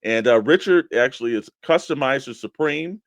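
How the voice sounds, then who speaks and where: A man's voice: mid-range voice, expressive, moderate pace, dry recording. Man hosting a show, close dry sound. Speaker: co-host of a toy collectors' show. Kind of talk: casual radio-style show banter and plugs.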